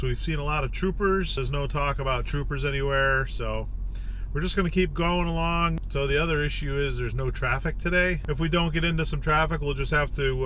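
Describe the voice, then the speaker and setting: A man giving casual, informal vlog narration, explaining gear step by step in a plainspoken way. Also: mid-range voice. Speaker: vlogger testing CB radio gear. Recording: steady background noise, vehicle sounds.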